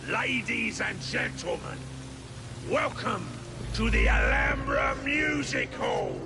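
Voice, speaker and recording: A man's voice: sits high, huge pitch swings, takes it slowly, gravelly, noisy background, full character voice. An actor playing a flamboyant, menacing Victorian gang boss and showman in a video game, with a theatrical, grandiose delivery. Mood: grand, showy. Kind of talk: dramatic video game acting.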